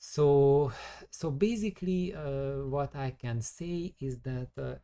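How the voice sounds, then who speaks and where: A man's voice: medium pitch, mellow. A man giving an informal technical explanation as a hobbyist presenter, circling back over his phrases and using salty slang. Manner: hesitant, repetitive, circling phrasing; colourful, mildly salty slang.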